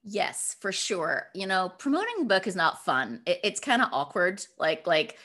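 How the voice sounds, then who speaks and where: A middle-aged woman giving conversational interview answers as a guest author, animated and given to acting out quotes. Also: on the low side, normal speed. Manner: animated, acts out imagined quotes.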